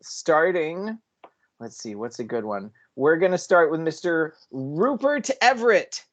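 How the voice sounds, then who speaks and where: Male podcast host, voice on the higher side, casual and playful, with an announcer streak. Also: sing-song, a little slow. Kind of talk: casual comedic chat.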